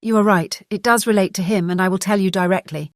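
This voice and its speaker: An actress with a British accent playing a gentle, distressed married gentlewoman in an audiobook drama, speaking earnestly and hesitantly. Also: mid-range voice, steady tone, fast, no echo, clean studio sound, close to an everyday voice. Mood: trembling, anxious. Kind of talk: full-cast audiobook drama reading.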